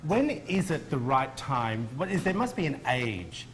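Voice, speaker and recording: A man's voice: mid-range voice, very animated, unhurried. A man interviewing a guest as host of a local TV show, in a conversational style.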